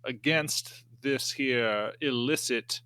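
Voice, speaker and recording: A man speaking in character in a tabletop game, deliberate and hesitant, with folksy old-time phrasing. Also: medium pitch, pushed and bright, smooth, no echo.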